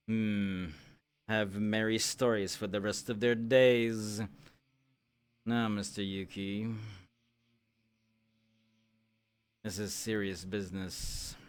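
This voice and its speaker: A man acts out a stern supervisor of fantasy adventurers in an online roleplay. The voice is smooth and resonant, and he talks very deliberately and formally, leaving slow, weighty pauses. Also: lowish voice. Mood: solemn warning. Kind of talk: improvised fantasy roleplay in character.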